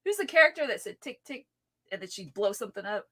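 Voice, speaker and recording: A young woman co-hosting a casual comics livestream, playful and quick to laugh as she reads out viewer comments. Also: medium pitch, very animated, pushed and bright. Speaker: co-host reading viewer comments. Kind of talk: casual chat.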